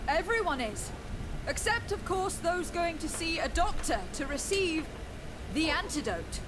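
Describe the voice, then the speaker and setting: A woman's voice: extremely high pitch, lively intonation, unhurried, noisy background. A woman playing an assured, persuasive young noblewoman in a video game, with formal diction. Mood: grave persuasion. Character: calm, persuasive young noblewoman addressing an angry mob.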